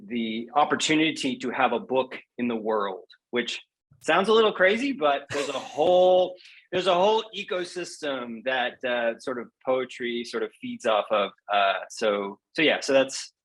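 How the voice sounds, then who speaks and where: A man's voice: medium pitch, a bit bright, poor audio. A man speaking as a poet guest in a casual interview, hesitant and playful as he explains things.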